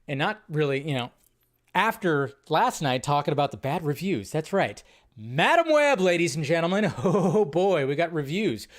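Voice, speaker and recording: A man's voice: on the high side, big pitch swings, moderate pace. A young adult man hosting a casual live movie news stream, rambling and correcting himself as he talks. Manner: rambling, self-correcting, chatty.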